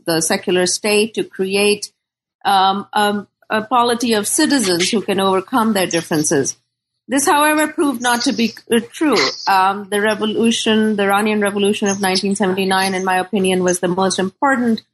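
A middle-aged woman from Pakistan speaking as a scholar in an interview, thoughtful and deliberate, often pausing to search for words. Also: mid-range voice, low-quality recording. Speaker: scholar and author being interviewed. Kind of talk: thoughtful academic interview talk.

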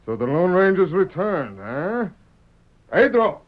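Smug bandit boss in an old radio western, sardonic and bossy, muffled sound. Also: male voice, high voice, very animated, takes it slowly, heavily acted. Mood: scheming, commanding. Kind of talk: old-time radio western villain acting. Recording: dull vintage radio recording.

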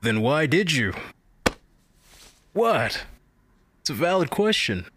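A man's voice: a little high, big pitch swings, takes it slowly, background noise. A man playing a blunt, sarcastic, grumpy member of a support group in an audio drama.

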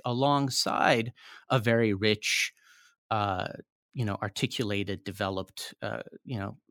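A man giving long academic answers as an interview guest, pausing often with fillers and restarting his phrases. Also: fairly low pitch, a bit bright, dry recording, studio quality. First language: not Japanese; learned Japanese as foreign language. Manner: hesitant, many fillers and restarts. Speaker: author guest.

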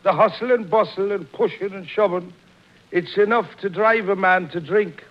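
Man playing weary Irish cop, high male voice, wry complaints, radio comedy, dull vintage sound. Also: moderate pace, close to an everyday voice. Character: weary, thirsty, henpecked Irish policeman. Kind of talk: old-time radio comedy acting. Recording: muffled old radio broadcast.

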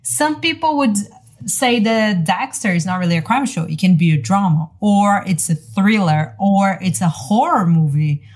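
A woman's voice: medium pitch, expressive, normal speed, a little mellow. A woman from Brazil co-hosting a podcast, talking in a casual, conversational and questioning way. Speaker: podcast co-host. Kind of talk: casual discussion.

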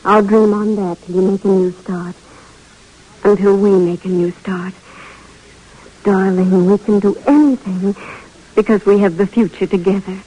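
An actress playing a devoted, encouraging young wife, earnest and tender in old radio drama style. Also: female voice, medium pitch, steady tone, unhurried, pauses often, dark tone, close to an everyday voice, low-quality recording. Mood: tender, resolute. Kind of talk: 1940s radio drama acting.

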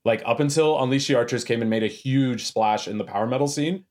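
A Canadian man in casual podcast chat, a deep-voiced baritone who tells stories with energy and acts out what people said. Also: fast. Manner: animated storyteller, acts out quotes. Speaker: guest, metal band frontman.

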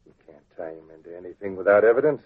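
An actor playing a shifty, defiant small-time crook in an old radio drama, slangy and blustering. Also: male voice, low-pitched, expressive, rapid-fire. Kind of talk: 1940s radio drama acting. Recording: tinny, scratchy old radio recording.

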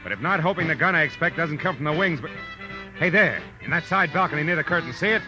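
An actor playing a wry, world-weary private detective in 1940s radio noir style, with a dry, hard-boiled manner. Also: male voice, fairly high pitch, lively intonation, fast talker, natural voice. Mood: tense, urgent. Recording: muffled old radio recording, faint music.

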